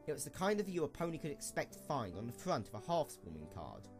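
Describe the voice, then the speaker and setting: A man reads scripted story narration in an expressive way. His medium-pitched voice moves widely up and down, and soft music plays underneath. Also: quick pace.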